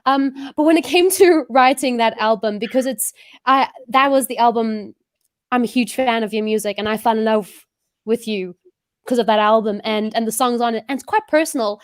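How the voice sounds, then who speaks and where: A woman with an English accent hosting an interview over a video call, chatty and quick to laugh at herself. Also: a little high, no echo, clean studio sound. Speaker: show host. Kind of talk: casual interview chat.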